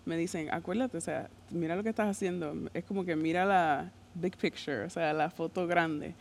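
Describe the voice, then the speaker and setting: A woman talks in a chatty way with a somewhat low voice. A faint hiss runs under the recording as she co-hosts a relaxed podcast chat. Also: moderate pace, gravelly. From Puerto Rico. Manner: frequent listening sounds, chatty. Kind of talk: casual podcast conversation.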